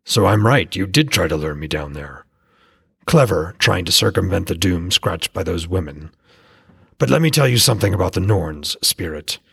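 A proud, fearless old war god, voiced by a man, haughty and sneering. His voice is deep with a gravelly edge and a husky grain, recorded close and dry. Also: animated, normal speed, fairly bright tone, no room sound, heavily acted. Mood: scornful. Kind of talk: audiobook character voice.

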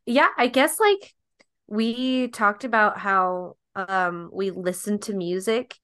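A young woman chats as a guest on a podcast. Her voice is smooth and clear at a middle pitch, and her speech is loose and filled with likes and ums, with easy laughter between thoughts. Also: slow pace, bright, forceful tone, no room sound, studio quality. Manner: chatty, lots of likes and ums, laughs easily. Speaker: podcast guest, dance partner. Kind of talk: casual podcast chat.

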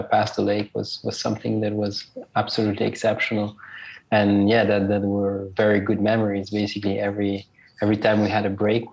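Hesitant low gravelly young man. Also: a little mellow, rough recording. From Switzerland. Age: 20s. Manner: hesitant, many fillers and repeated words. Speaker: interview guest, school alumnus. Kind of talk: casual interview answers.

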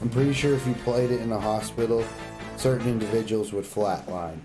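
A man's voice: on the low side, fairly quick, music in the background. A man bantering casually about video games as a podcast co-host.

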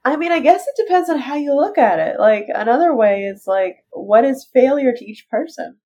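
A woman's voice: sits high, lively intonation, normal speed, a little mellow. A woman co-hosting a casual podcast chat, stuffy from allergies, with a wry, easygoing manner. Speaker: podcast co-host. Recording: slightly dull sound.

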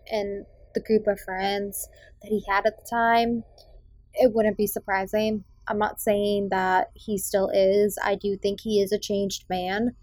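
A woman's voice: mid-range voice, a little mellow, dry recording, poor audio. A young adult woman from Massachusetts's South Shore with a faint Boston accent, hosting a casual movie review podcast in a chatty, opinionated way with frequent ums. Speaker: podcast host.

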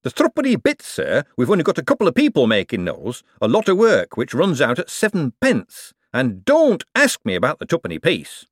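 A man's voice: fairly high pitch, wildly swooping pitch, normal speed, hardly any pauses, no room sound, voice pushed up. A man voicing a sly, working-class male mint foreman who uses broad dialect grammar and keeps saying sir. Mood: wheedling.

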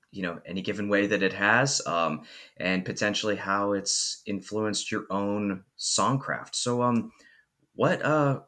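A man hosting a podcast, speaking in a measured, articulate way as he reads introductions and interviews a guest. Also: lowish voice, pushed and bright. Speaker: podcast host.

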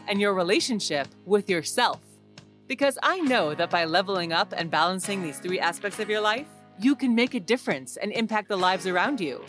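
A woman narrates a scripted podcast introduction. Her pitch is middling, and light music plays behind her voice. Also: a bit bright.

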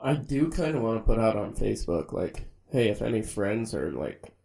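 A man's voice: fairly low pitch, sing-song, vocal fry, warm tone. A young man co-hosting a podcast, joking and asking questions with casual swearing. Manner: jokey, quick questions, swears freely. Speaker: podcast co-host. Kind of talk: casual chat.

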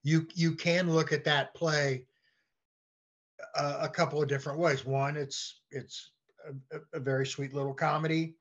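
Slightly muffled man, halting conversational guest. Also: a little high, flat delivery. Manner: halting, repeats words as he goes. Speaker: podcast guest. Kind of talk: conversational discussion.

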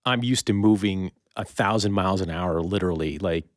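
A man hosting a casual interview, asking questions in a conversational, somewhat halting way. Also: sits low, no echo, studio quality. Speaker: interview host.

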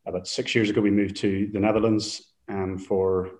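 A man from Ireland, a Hebrew lecturer speaking as a guest, thoughtful and halting with fillers. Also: lowish voice, little pitch movement, a little mellow. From Northern Ireland. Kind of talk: casual interview talk.

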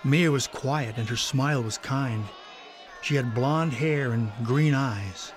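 A man's voice: mid-range voice, slow, faint music behind. A man narrating a scripted children's story.

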